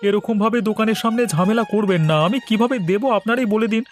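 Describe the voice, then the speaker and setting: A man's voice: sits high, steady tone, fast talker, natural voice. A man voicing a mild, put-upon young village shopkeeper husband in a cartoon, with a placating, plaintive manner. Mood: polite, harried. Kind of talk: Bengali cartoon voice acting. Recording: music behind the voice.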